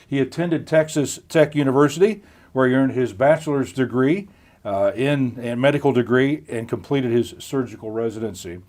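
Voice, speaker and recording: A man's voice: mid-range voice, a little mellow. A middle-aged man moderating a live online health chat in a folksy, chatty way. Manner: chatty, folksy, with frequent restarts and laughs. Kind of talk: live-streamed hosted interview.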